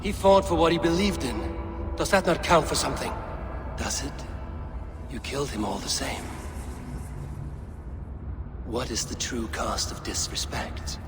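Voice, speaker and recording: An actor playing a loyal, resolute Viking clan leader in a video game, speaking in an earnest, commanding manner. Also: male voice, medium pitch, measured pace, pauses often, over music, lightly acted. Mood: troubled, defiant. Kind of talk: dramatic video game acting. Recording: long reverb, very loud background noise.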